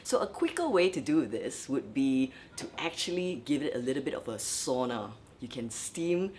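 A young woman vocal coach, from Malaysia, giving lively, chatty tips in a vlog while her voice is dry and scratchy. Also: very deep voice, moderate pace. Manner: lively, chatty, many fillers. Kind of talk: instructional vlog.

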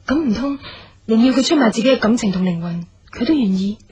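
A woman's voice: a little high, animated, fast talker, darkish tone, slightly rough, highs cut off. A woman playing a guarded, polite young interior designer in a radio drama, with a Hong Kong Cantonese accent. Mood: polite, probing. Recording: radio drama with music underneath.

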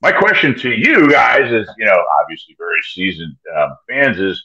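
Lively man hosting a video-call chat. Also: medium pitch, normal speed, vocal fry, muffled recording. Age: 50s. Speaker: host. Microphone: webcam on video call.

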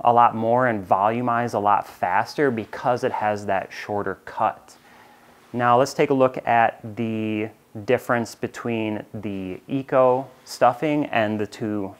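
A man in his thirties with an American accent walks through a product explainer in a clear, steady, informative way. His voice sits at an ordinary middle pitch. He is recorded close on a lapel mic in a bright studio. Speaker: company presenter. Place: bright studio with shelves of plush toys.

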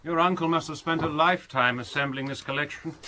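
A man playing a bookish, self-assured young English scholar in a radio drama, proper and slightly fussy, with a husky voice. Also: on the high side, brisk, background noise, natural voice.

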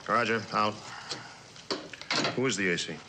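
A man's voice: lowish voice, unhurried. A man playing a stern, curt air force general with an authoritative, skeptical manner in a vintage suspense drama. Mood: stern, brusque. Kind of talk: mid-century suspense drama acting. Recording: noisy vintage drama soundtrack.

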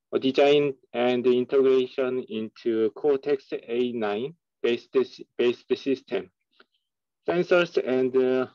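Young man reading slides, very slowly. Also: mid-range voice, dry recording, dull audio. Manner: slow, hesitant reading, stumbles on terms. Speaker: student reading slides aloud.

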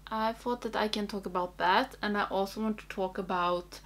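A young woman with a Swedish accent, speaking English as a second language and chatting casually and a bit haltingly, as in a makeup vlog. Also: medium pitch, steady tone, a bit bright, a little hiss or hum. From Sweden. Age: young adult. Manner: hesitant, halting, thinking aloud. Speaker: makeup video creator. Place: small indoor room.

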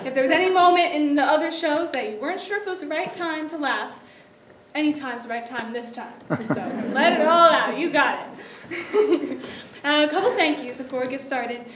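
High-voiced young woman introducing a stage show. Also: moderate pace. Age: young adult. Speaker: playwright and director introducing a show. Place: theatre stage, indoors. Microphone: distant audience camera. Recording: echoey theatre from far off, noisy.

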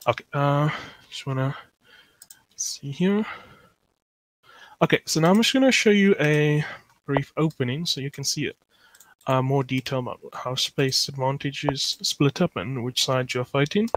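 A man's voice: mid-range voice, a little slow, a bit bright, no room sound. A man from South Africa giving a live online chess lecture, thinking aloud with many fillers and reading out chat messages.

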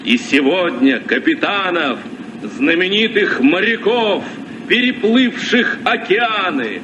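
A man's voice: sits high, very animated, takes it slowly, full character voice. A man playing a solemn, hearty sea captain, reciting verse in a vintage radio play. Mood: solemn, festive. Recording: distant, muffled, noisy old radio recording.

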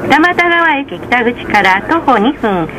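A woman's voice: high voice, very animated, slow, crowd noise, rough recording. A woman reading scripted bus announcements in a formal, polite manner. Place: recorded inside a city bus, engine and road noise behind. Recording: heavy vehicle noise, recorded on a bus.

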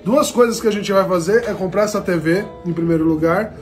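Fairly high-voiced man in his 30s, earnest, a bit preachy, phone vlog with music and shop crowd behind. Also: brisk. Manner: earnest, preachy addresses to viewers. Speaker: charity vlogger. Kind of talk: motivational vlog talk. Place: electronics store. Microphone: phone held at arm's length.

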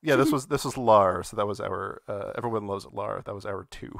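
Low-voiced man, casual stream host. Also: a little fast, creaky, a bit bright, no echo, studio quality. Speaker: podcast host running the stream. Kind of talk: casual live-stream chat.

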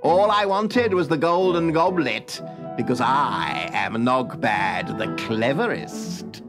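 A man's voice: medium pitch, expressive, slow, over music. A man voicing a sly, boastful storybook villain with a gleeful, chuckling manner. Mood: gloating.

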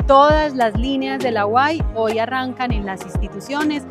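A Colombian woman in her forties makes a statement to camera. Her voice is a little high. Music plays clearly behind her. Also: unhurried. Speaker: coordinator giving a statement. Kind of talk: announcement to camera.

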